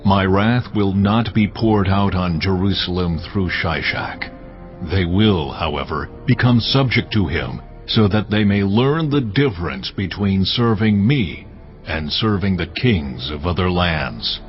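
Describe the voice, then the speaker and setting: An actor playing God in a dramatised audio Bible, a solemn divine judge speaking with grave, measured authority. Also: male voice, deep voice, lively intonation. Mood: solemn, stern. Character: God, a solemn male divine judge, stern yet merciful. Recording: dull band-limited audio with faint music.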